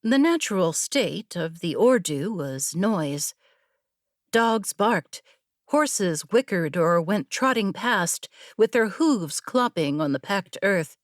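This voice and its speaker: Woman reading a story audiobook, slow literary delivery, dry close studio sound. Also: medium pitch, expressive, no echo. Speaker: audiobook narrator. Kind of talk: scripted story narration.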